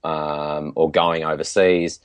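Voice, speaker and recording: Deep-voiced Australian man. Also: little pitch movement, slow, clean tone, dry recording. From Tasmania, Australia. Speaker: podcast guest, PE teacher. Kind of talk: casual interview chat.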